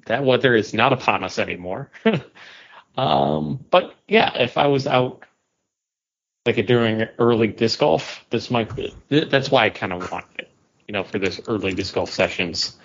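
A man co-hosting a casual podcast, speaking in a halting, hesitant way as he works out his sentences. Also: medium pitch. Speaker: podcast co-host. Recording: slightly muffled, lo-fi audio.

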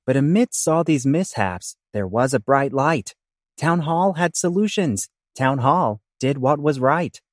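A man narrates a comic audio play in theatrical rhymed verse. His pitch is medium, and the recording is dry with no room sound. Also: animated, unhurried, no echo. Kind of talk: theatrical rhyming scripted narration.

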